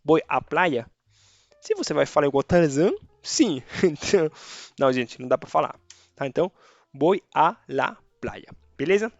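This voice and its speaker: A man's voice: fairly high pitch, animated, moderate pace, dry recording, lo-fi sound. A man whose first language is Spanish, teaching Spanish grammar in a casual online lesson and checking in often with his viewers. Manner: chatty, checks in with tá and beleza.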